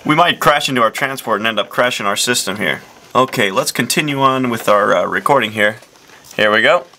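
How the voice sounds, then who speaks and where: A young man earnestly presenting a parody software demo, rambling with lots of fillers. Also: medium pitch, a little fast. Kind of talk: deadpan parody product demo.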